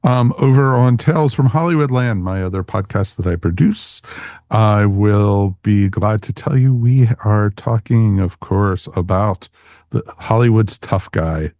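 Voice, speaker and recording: A man's voice: on the low side, a little slow, darkish tone, dry recording, studio quality. A man hosting a podcast, chatting casually and informally with co-hosts and listeners. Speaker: podcast host. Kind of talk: casual podcast sign-off chat.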